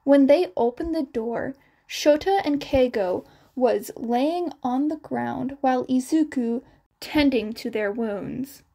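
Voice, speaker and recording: A woman's voice: high-pitched, takes it slowly, vocal fry, warm tone. A woman reading scripted fan fiction as the narrator of a podfic.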